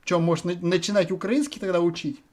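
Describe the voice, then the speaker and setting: A man's voice: high-pitched, fast talker, darkish tone. Rambling man from Russia chatting on camera. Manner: rambling, hesitant, lots of filler words. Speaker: video chat vlogger. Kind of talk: casual thinking aloud to viewers.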